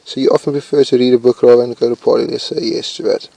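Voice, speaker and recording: Young man, slow and flat, gravelly fry, thinking aloud with hesitations; dry close recording. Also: medium pitch, no echo. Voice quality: says his voice is loud. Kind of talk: casual commentary while reading test questions aloud.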